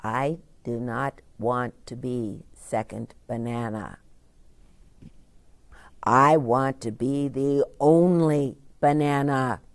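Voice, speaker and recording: Woman as a glum, slow-talking sulky child. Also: very deep voice, steady tone, no echo, pitched below the performer's own voice, exaggerated voice. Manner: glum, emphatic complaining. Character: sulky, disappointed child stuck with a minor role.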